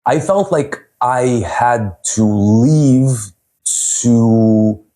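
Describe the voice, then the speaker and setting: A man in his thirties from Serbia, telling his personal story as a holistic health coach at a virtual event in an earnest, unhurried way. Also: medium pitch, lively intonation, dark tone. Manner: earnest, unhurried, with frequent pauses and fillers.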